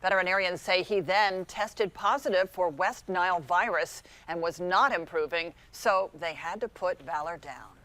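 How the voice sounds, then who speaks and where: A woman's voice: lowish voice, moderate pace, few pauses, fairly bright tone. An American woman working as a morning news anchor, reading in broadcast style.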